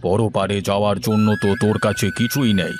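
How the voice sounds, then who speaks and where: A man's voice: fairly low pitch, level tone, a little fast, close to an everyday voice. A man voicing a stern but kindly holy sage in a cartoon fairy tale, speaking in solemn, commanding, old-fashioned phrases. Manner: commanding, archaic solemn address. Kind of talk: animated fairy-tale voice acting. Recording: music behind the voice.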